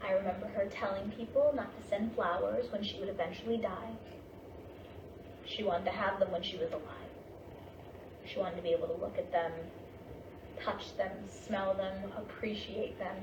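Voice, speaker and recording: A woman acting an anxious, sharp-tongued wife and mother who is grieving, in a staged play reading with clipped, pointed delivery. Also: medium pitch, steady tone, moderate pace, lo-fi sound, close to an everyday voice. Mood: tender, grieving. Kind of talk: staged play reading, naturalistic dramatic dialogue. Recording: distant, echoey room with clear background noise.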